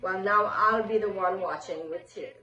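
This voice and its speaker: A woman's voice: medium pitch, moderate pace, sharp tone, lo-fi sound. A woman giving a personal farewell tribute to a family member. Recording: echoey room, faint music behind.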